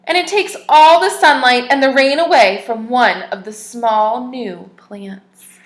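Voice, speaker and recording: A woman teacher reading a picture book aloud to young children, expressive and stopping to ask them questions. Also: fairly high pitch, unhurried, reverberant.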